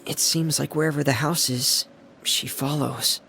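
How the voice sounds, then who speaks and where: A man playing a quiet, earnest, downcast teenage boy in a video game, hesitant and subdued. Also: fairly high pitch, fairly even intonation, a little slow, creaky, a little hiss or hum, heavily acted. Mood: dejected. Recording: music playing behind the voice.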